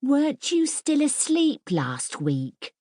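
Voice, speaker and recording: A woman's voice: high-pitched, wildly swooping pitch, slow, warm tone, dry recording, studio quality, voice pushed up, full character voice. A woman voicing an earnest, sensible, inquisitive young girl in a children's audiobook. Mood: doubting. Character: curious, sensible young girl, questioning and wary.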